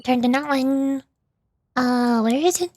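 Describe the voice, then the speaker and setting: Very high, playful cutesy woman streamer. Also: a little slow, a little mellow, no room sound. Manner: playful, cutesy little sound words. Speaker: VTuber game streamer. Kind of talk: livestream gameplay chat with voiced-aloud dialogue.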